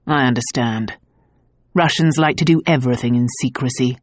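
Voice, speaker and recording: A man voicing a gruff, protective husband. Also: normal speed, no echo, studio quality, lightly acted. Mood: cold. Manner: low, gruff and tender. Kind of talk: audiobook dialogue.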